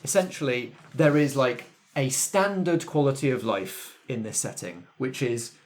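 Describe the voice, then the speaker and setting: A man's voice: mid-range voice, measured pace, a little hiss or hum. Guy game master talking players through the rules, chatty, normal mid voice. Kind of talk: explaining tabletop game rules conversationally.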